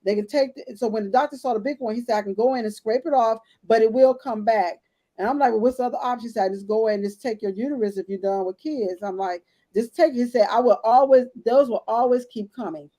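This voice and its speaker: A woman in her late fifties tells her own story with blunt candor and talks straight to the women listening. Her voice sits a little high but sounds mellow and relaxed. It is easygoing, personal chat. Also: fast. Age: 50s. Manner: candid, storytelling, addresses listeners as ladies. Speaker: podcast co-host. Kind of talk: casual health chat.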